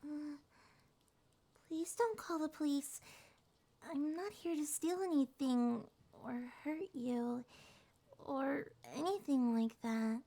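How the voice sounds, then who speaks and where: A woman voicing a small, shy, gentle monster girl in an ASMR roleplay, speaking softly, hesitantly and reassuringly. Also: sits high, slow pace, long pauses. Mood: nervous, pleading. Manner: hesitant, soft-spoken, reassuring.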